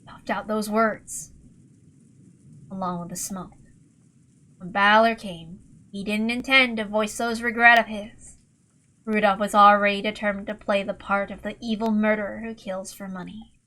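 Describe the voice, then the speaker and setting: A woman's voice: medium pitch, fairly even intonation, moderate pace, sharp tone, low-quality recording. Woman narrating a story dramatically. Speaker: amateur reader and narrator of a visual novel.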